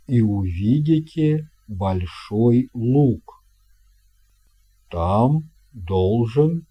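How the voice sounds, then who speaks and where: A man voicing a courteous, dignified steamship captain in an audio storybook, speaking in a formal, measured way. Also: on the low side, dark tone, husky, pitched below the performer's own voice, exaggerated voice. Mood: calm, instructive. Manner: formal, measured, weighty delivery.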